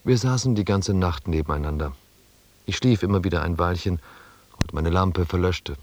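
An actor playing a reflective expedition scribe who narrates a radio drama in a measured, restrained and quietly melancholy way. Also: male voice, low voice, no room sound. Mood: sober narrating. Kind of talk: scripted first-person narration in a German radio drama.

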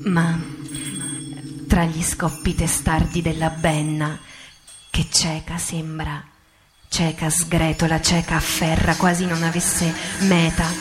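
A woman recites poetry as radio theatre, in a solemn, deliberate way with dramatic pauses. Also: deep voice, level tone. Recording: music under the voice. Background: music.

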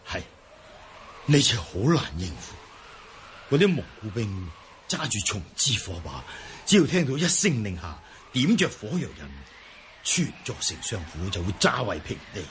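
An actor playing a grave, worried army general in a Cantonese radio drama. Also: male voice, lowish voice, sing-song, unhurried, a bit bright, a little hiss or hum, full character voice.

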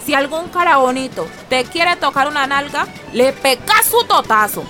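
A woman's voice: sits high, expressive, moderate pace, over music. A woman acting in a radio drama as a feisty, protective, streetwise mother with a Colombian accent, giving earthy orders to her children. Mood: bossy, protective. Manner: bossy, earthy, colloquial instructing.

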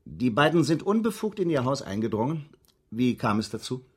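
A man playing a formal, methodical police inspector in a radio drama, measured and courteous as he probes, with slight hesitations. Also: mid-range voice, sing-song, a little mellow, husky. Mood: courteous, businesslike. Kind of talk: German radio drama acting.

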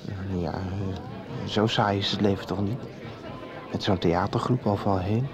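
A man's voice: sits low, steady tone, measured pace, crowd noise. An actor playing a nervous, eager young man in a radio play. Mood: unsettled. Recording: lo-fi radio play with street noise.

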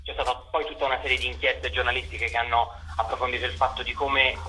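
A man's voice: mid-range voice, little pitch movement, fast talker, sharp tone, rough recording. A young man, a theater author and director, giving articulate, discursive interview answers in long winding sentences. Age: young adult.

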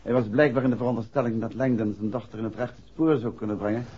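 An actor playing a composed, courteous amateur detective in a 1960s radio play, businesslike and to the point. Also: male voice, mid-range voice, steady tone, quick pace, mellow, breathy edge, close to an everyday voice. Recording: muffled 1960s radio recording.

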